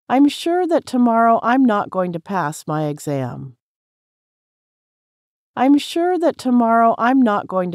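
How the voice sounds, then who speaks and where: A woman with an American accent reading English practice sentences clearly and carefully, repeating each one for learners to copy. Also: mid-range voice, a little mellow, no room sound, studio quality.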